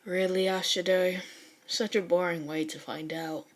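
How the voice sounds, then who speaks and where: Girl doing a bored sardonic teen boy, deadpan drawl, kinda low, audiobook style. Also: a little slow. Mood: bored, dry.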